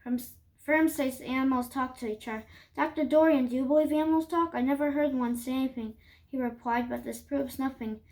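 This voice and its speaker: A woman reading a children's story aloud, haltingly, with stumbles and restarts. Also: high voice, a little mellow, poor audio.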